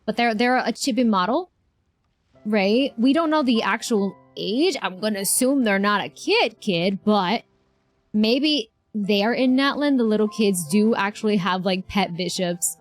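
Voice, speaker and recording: A woman's voice: mid-range voice, lively intonation, unhurried. A woman streaming casually, chatting and thinking aloud as she reacts to a video game. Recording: game music behind the voice.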